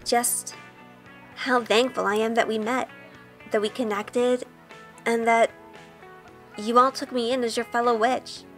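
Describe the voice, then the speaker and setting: A woman's voice: on the high side, level tone, unhurried, long pauses, faint music behind, lightly acted. A woman playing a sweet, anxious young witch in an audio drama, earnest and hesitant, also narrating. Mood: tender, shy. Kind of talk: audio drama acting with first-person narration.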